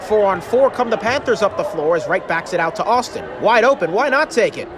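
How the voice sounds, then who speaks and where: A man doing live radio play-by-play of basketball, with excitable, drawn-out calls on big shots. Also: sits high. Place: indoor college basketball arena. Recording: loud arena noise and crowd behind.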